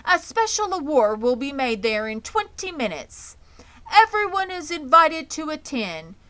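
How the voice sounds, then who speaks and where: A woman's voice: high voice, sing-song, a little slow, fairly bright tone, pitched above the performer's own voice, heavily acted. A woman voicing a formal, emphatic fairground announcer in a storybook reading. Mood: formal announcing.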